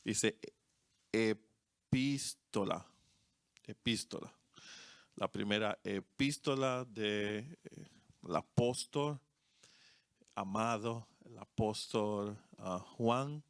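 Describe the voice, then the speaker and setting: A man with a Dominican accent, a co-pastor, preaching and praying in a church service with a slow, deliberate cadence. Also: fairly low pitch, no echo, studio quality. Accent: Dominican Spanish. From Dominican Republic. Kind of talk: sermon and congregational prayer.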